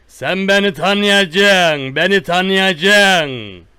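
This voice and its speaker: A man playing a menacing, boastful rustic tough guy who threatens a caller with slow, repetitive, drawn-out threats on a one-sided prank call. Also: high voice, expressive, pushed and bright, clear, resonant tone, no room sound, exaggerated voice.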